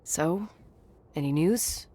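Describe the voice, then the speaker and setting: A woman's voice: sits low, takes it slowly, dark tone. An actress playing a guarded, dry-witted young woman in a naturalistic video game performance. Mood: uneasy, questioning.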